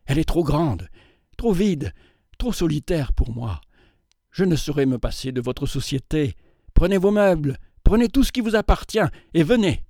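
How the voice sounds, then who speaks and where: A man's voice: a little high, big pitch swings, moderate pace, darkish tone, no room sound, clean studio sound. A man voicing an aging, gallant bachelor collector who pleads in an earnest, courtly, emphatic way. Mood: pleading.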